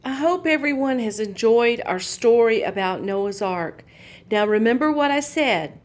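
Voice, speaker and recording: A woman telling a Bible story to young children, pointing out the pictures in a gentle, patient way. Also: on the high side, animated, unhurried.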